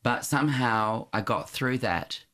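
A middle-aged man, an interview guest, recalling his school days in a reflective, storytelling way, with frequent restarts and soft laughs. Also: mid-range voice, expressive, a little slow.

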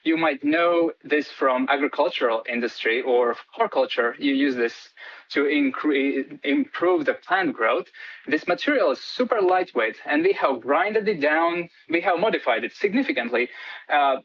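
A man's voice: on the high side, lively intonation, normal speed, slightly rough, no room sound. Guy on a radio interview, lots of ums and restarts, dry muffled sound. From Latvia. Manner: hesitant, many fillers and restarts. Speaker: PhD student guest.

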